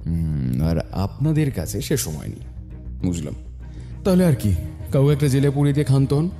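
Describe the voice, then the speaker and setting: An actor playing a shrewd, self-assured private detective in an audio drama, reasoning aloud in a measured, dry way with thoughtful hums. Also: male voice, mid-range voice, very animated, dark tone, faint music behind, natural voice. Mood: dry, probing.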